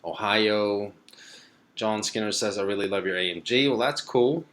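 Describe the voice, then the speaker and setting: A young man from Sweden hosting a live stream, casually reading viewer comments and answering them in a relaxed, rambling way with plenty of fillers. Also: lowish voice, moderate pace.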